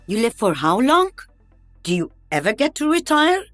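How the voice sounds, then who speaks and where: A woman's voice: fairly high pitch, very animated, moderate pace, a bit bright, no room sound, soft background music. A woman playing an older veteran sniper in a video game, wry and teasing. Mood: musing.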